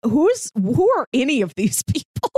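A woman's voice: sits high, very animated, moderate pace, darkish tone, no echo. Casual woman podcaster, dry close sound. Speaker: podcast co-host. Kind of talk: casual chat discussing a TV series.

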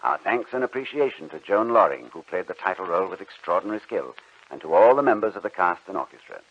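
A man's voice: deep voice, big pitch swings, fast, husky. A man working as a radio announcer, reading a script in a polished, formal old-time broadcast style. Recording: dull old radio recording.

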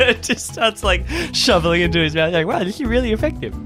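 A man playing an improvised tabletop game, bantering casually in an animated, jokey way. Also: sits high, fairly quick. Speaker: player in an improvised audio drama. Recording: music behind the voice. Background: music.

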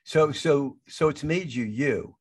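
A man's voice: a little high, slow pace, darkish tone, slightly rough, no room sound. A man in his sixties who stutters, hosting an interview as a coach and often repeating words as he talks. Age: 60s. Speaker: podcast host and coach. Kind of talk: casual interview chat.

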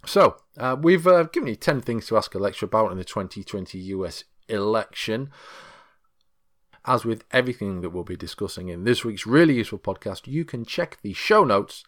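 A man's voice: fairly low pitch, animated, a little fast, a bit bright. A man presenting a podcast in a casual, chatty manner as its host.

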